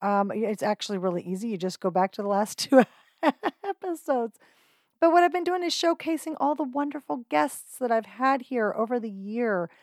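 A woman's voice: fairly high pitch, moderate pace, a little mellow, no room sound, studio quality. A woman hosting a podcast, introducing guest clips in an upbeat, friendly way with casual "um"s. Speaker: podcast host.

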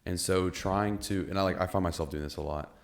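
This is a young man with an extremely deep voice who hosts a podcast. He muses reflectively and haltingly, restarting his phrases often. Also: steady tone, vocal fry. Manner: reflective, halting, many restarts and "you know". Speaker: podcast host. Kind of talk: casual chat.

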